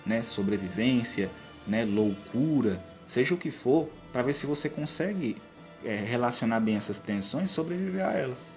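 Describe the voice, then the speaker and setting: A man's voice: medium pitch, a little mellow. A man with a Brazilian accent giving conversational, explanatory advice as a podcast co-host and game master. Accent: Brazilian Portuguese. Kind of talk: conversational advice on tabletop role-playing games.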